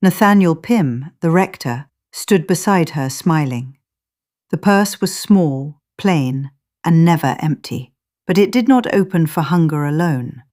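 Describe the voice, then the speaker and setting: A woman narrates a scripted story in a low register. Her delivery is very unhurried and measured, with a literary storyteller's phrasing that gives each clause its own space. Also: expressive, darkish tone.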